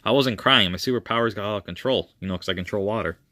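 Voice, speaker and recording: A man's voice: fairly low pitch, fast talker, pushed and bright, no echo. A brash young superhero, played by a man covers his feelings with slangy banter. He sounds defensive and proud, as in a character scene from a told story. The recording is dry and close. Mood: defensive, affectionate.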